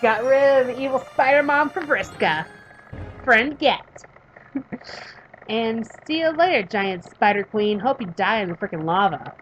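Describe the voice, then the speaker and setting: A woman in her thirties reading a visual novel aloud and chatting about it, emphatic while reading and quiet when talking about herself. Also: fairly high pitch, lively intonation, a little slow, dull audio, faint music behind. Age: 30s. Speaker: let's play streamer reading a visual novel. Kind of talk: reading game text aloud and commenting.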